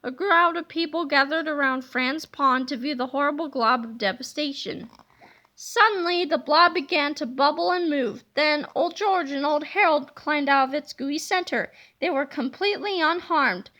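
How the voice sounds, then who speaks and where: Bright-voiced woman reading a children's book. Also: sits high, normal speed, muffled recording. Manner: expressive storytelling. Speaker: read-aloud narrator.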